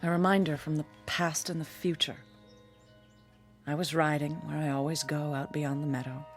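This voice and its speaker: An actress playing a bitter, haunted, hard-drinking woman in her forties in a radio drama, theatrical and sardonic with a husky voice. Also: low-pitched, little pitch movement, normal speed, natural voice. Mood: brooding, haunted. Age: 40s. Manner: theatrical, sardonic, self-pitying. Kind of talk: old-time radio drama acting. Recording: radio drama with music underneath.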